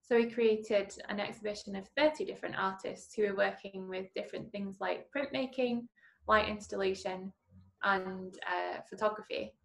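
A woman's voice: mid-range voice, fairly even intonation, moderate pace, vocal fry. A woman from Manchester, an artist explaining her gallery's exhibitions on a video call, with some fillers. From Manchester, England.

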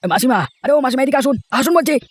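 A man's voice: very high voice, rapid-fire, a little hiss or hum. A man voicing a hot-tempered village garden owner in a fairy tale cartoon, speaking emphatically. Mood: angry, accusing.

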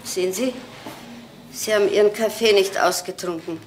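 A woman's voice: mid-range voice, normal speed, darkish tone, slight background noise, lightly acted. An actress playing a wistful, stubborn old mother in a crime drama, rambling and repeating herself in short phrases. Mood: plaintive, defiant. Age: older.

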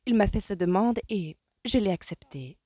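A woman reading aloud, voicing a gentle, anxious young woman who speaks softly and in a hush. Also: medium pitch, expressive, husky, no room sound, pitched above the performer's own voice. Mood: earnest. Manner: soft, hushed, hurried.

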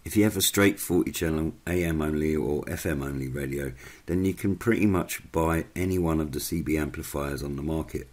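A man reading a scripted explainer narration in a measured, instructional way. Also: rumbling low, darkish tone.

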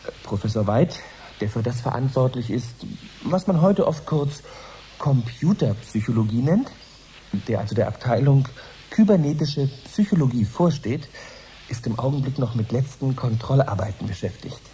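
An actor playing a courteous radio science reporter, presenting a live broadcast in a formal, measured way. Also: male voice, medium pitch, lively intonation, mellow, close to an everyday voice. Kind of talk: radio play styled as live news broadcast. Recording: noisy 1980s radio recording.

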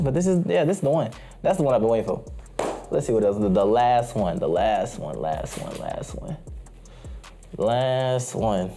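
Hyped young man with a lively voice. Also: mid-range voice. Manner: hyped slangy talk, repeats phrases. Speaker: streetwear haul vlogger. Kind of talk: casual haul video chat. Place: small indoor room full of anime posters.

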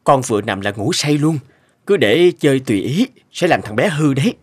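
A man's voice: medium pitch, lively intonation, fairly quick, breathy edge, dry recording. A man voicing an unfaithful young husband in a cartoon, weary and dismissive. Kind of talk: cartoon dubbing.

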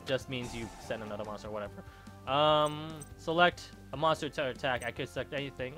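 A man casually commentating a card game as he plays, thinking aloud, often stopping and correcting himself. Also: medium pitch. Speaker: gaming channel host. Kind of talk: let's play commentary.

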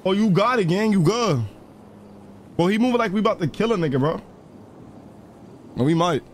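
A man's voice: high-pitched, expressive, normal speed, a little hiss or hum. A man playing a crime role-play game, talking in profane, repetitive street slang and bossing others around. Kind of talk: in-character gaming banter, street slang.

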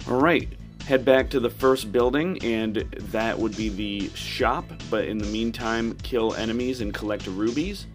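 A man's voice: medium pitch, a little slow, faint music behind. A man hosting a casual video game playthrough commentary. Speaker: gaming channel host.

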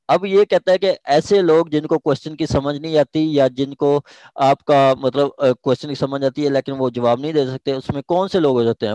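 A man's voice: mid-range voice, darkish tone, no room sound, poor audio. A man with a Pakistani accent explaining a legal text as an informal lecturer, repeating key phrases and switching into English. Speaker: law lecturer.

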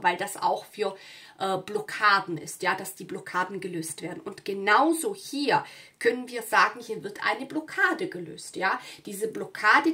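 A woman's voice: mid-range voice, pushed and bright. A woman from southern Europe talking casually to viewers as a tarot reader, often checking in with small tag words as she goes. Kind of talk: casual spoken card reading to viewers.

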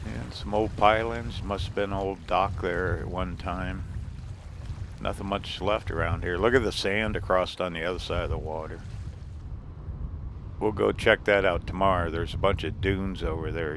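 Deep-voiced man narrating a road trip. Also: normal speed, noisy background. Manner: relaxed, rambling, chuckles often. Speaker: RV travel vlogger. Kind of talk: casual driving tour narration. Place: in a vehicle driving, and outdoors by the water.

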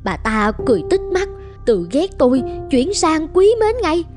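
An actress playing a gossipy, catty middle-aged wife in a cartoon folk tale, expressive. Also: female voice, high voice, moderate pace. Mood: pleased, sly. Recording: music behind the voice.

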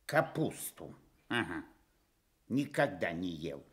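An actress playing a naive, self-satisfied stork in a children's radio story, theatrical and musing. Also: female voice, rumbling low, very animated, slow pace, husky, full character voice. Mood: curious.

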